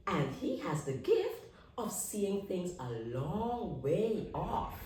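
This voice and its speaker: A woman reading a picture book aloud to young children in an expressive way, asking her listeners questions and pausing for drama. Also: medium pitch. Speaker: children's read-aloud storyteller. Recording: echoey room, distant microphone.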